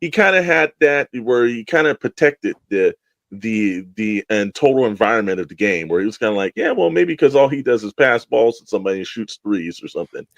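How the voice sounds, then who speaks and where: A man's voice: on the low side, lively intonation, a little fast, creaky, no echo, dull audio. A man co-hosting a podcast in casual discussion, rambling and opinionated, with an emphatic way of talking. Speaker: podcast co-host.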